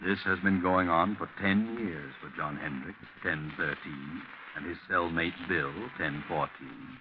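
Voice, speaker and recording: A man's voice: sits low, a little slow. A man narrating a 1940s radio suspense drama in a brooding, dramatic manner, addressing the listener directly. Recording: noisy, muffled 1940s radio recording.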